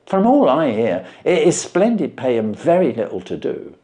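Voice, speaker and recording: Man playing an eager, persuasive shop assistant, animated. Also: mid-range voice, normal speed, pitched above the performer's own voice. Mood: eager, coaxing. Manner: coaxing, animated explaining.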